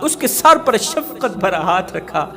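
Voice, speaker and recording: A man's voice: high-pitched, sing-song, slow pace, gravelly, fairly bright tone. A man preaching through emotional storytelling, dramatic, repeating phrases for emphasis.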